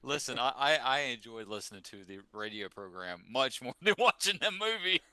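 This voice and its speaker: A middle-aged or older man co-hosting a casual podcast, talking haltingly and often repeating his words. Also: medium pitch, sing-song, bright, forceful tone, no echo. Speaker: podcast co-host. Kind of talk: casual group chat.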